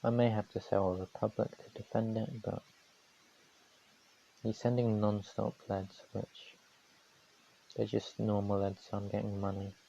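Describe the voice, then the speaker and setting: A man calmly commentating his own strategy game on a live stream, his voice going hoarse, from New Zealand. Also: lowish voice, measured pace, long pauses, muffled recording. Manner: calm, matter-of-fact strategy talk.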